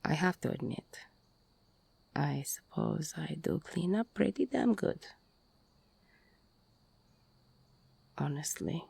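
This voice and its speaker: Woman playing tough older girlfriend, heavy vocal fry, slow hesitant delivery, tender and insecure, intimate audio roleplay. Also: low-pitched, no echo, heavily acted. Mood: wistful, teasing. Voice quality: husky, gravelly, breathy. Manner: hesitant, slow, half-whispered confiding. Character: older mobster-turned-bartender ex-con girlfriend, tender, teasing, insecure.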